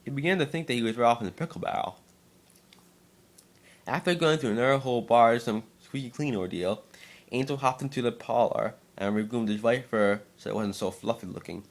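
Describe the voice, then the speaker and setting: A man's voice: mid-range voice, brisk. A man narrating a scripted story as an amateur dramatic reading, sometimes stumbling over and repeating words.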